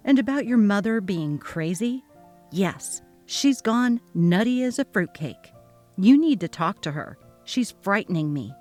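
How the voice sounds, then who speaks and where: A woman's voice: mid-range voice, a little mellow. A woman voicing a fed-up, defiant young mother, reading a text-message story dramatically and emphatically.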